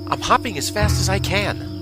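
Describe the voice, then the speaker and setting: A protective rabbit ship's doctor played by a man in a science-fiction audio drama. He is firm and concerned, with animated intonation under loud music. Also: high-pitched, moderate pace.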